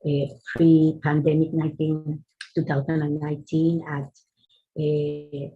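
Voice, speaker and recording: A woman with a husky, low voice speaks slowly and warmly about what a shared experience has meant to her. The call audio is muffled and lo-fi. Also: little pitch movement. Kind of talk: group reflection on a video call.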